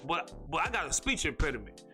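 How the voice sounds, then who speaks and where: Fast-talking man with a stutter. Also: fairly high pitch, big pitch swings, bright, forceful tone, faint music behind. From North Carolina, USA. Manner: rambling, slangy, fast talker with a stutter. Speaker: podcast host. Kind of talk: casual solo podcast monologue.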